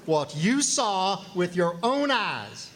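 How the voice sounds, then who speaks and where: A man playing a formal, pointed attorney questioning a witness deliberately in a radio drama. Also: high-pitched, very animated, a bit bright, exaggerated voice. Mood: formal, probing. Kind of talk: radio drama courtroom acting.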